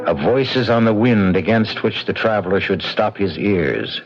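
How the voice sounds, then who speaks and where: Slow, ominous American male radio host. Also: fairly low pitch, expressive. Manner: ominous, dramatic pauses. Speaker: radio mystery show host and narrator. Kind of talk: theatrical scripted narration, vintage radio mystery. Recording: dull old radio recording, loud music.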